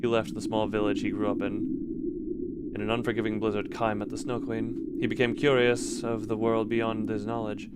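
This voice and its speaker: Male streamer with clear background noise. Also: fairly low pitch, completely flat delivery, moderate pace. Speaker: streamer talking with live chat. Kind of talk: casual livestream commentary with read-aloud story passages.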